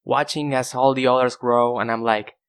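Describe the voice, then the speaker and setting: A man's voice: mid-range voice, fairly even intonation, normal speed, fairly bright tone, clean tone. A young man narrating in a casual, self-deprecating, conversational way, like a scripted storytime video.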